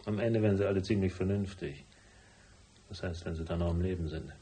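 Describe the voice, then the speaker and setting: An actor playing a cool, wisecracking private detective in a noir radio drama, speaking laconic with dry sarcasm. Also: male voice, low-pitched, fairly even intonation, brisk, mellow, natural voice. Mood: cool, sardonic. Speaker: lead actor and first-person narrator.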